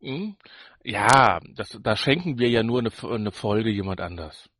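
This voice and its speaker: A man's voice: on the low side, lively intonation, unhurried, a bit bright, slight background noise. A man co-hosting a podcast in casual banter, with a teasing, jokey manner. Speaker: podcast co-host.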